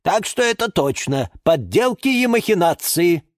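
A woman's voice: on the low side, sing-song, unhurried, a little mellow, dry recording, clean studio sound, voice pushed up. A woman voicing a fussy, gossipy know-it-all female houseplant in a children's story, emphatic and dismissive. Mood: disparaging.

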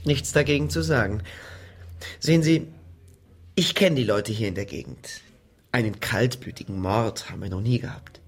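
An actor playing a gruff, sardonic and threatening provincial police lieutenant in a radio crime drama, with curt questioning. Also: male voice, on the low side, normal speed, long pauses. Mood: sardonic, guarded.

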